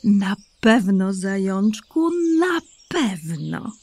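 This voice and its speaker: A woman voicing a gentle, loving mother rabbit in a children's audio drama, speaking tenderly and calling her son sweet names. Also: mid-range voice, sing-song, extremely slow, full character voice. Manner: tender and soothing, calls her son endearments.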